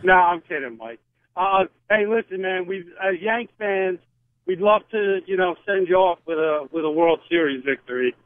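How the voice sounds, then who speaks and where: A man's voice: sits high, normal speed, dry recording. A man phoning a sports radio show, chatting casually and chummily with hesitant lead-ins over a phone line. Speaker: radio call-in listener.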